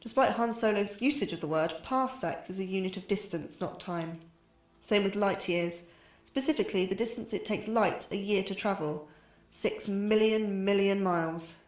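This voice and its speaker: An actress playing a young astrobiologist, anxious and wry, with a dry, scholarly way of talking. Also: female voice, medium pitch, moderate pace, close to an everyday voice. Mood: wry, lecturing. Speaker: lecturer. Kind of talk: scripted lecture in audio drama. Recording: roomy echo, faint music behind.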